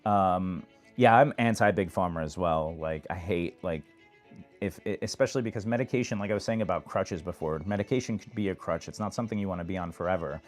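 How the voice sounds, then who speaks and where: Brief: deep, even-toned man on a phone livestream, casual advice chat, faint music underneath. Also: a little fast. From New York. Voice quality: monotone. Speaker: livestream host. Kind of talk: casual live chat answering viewers.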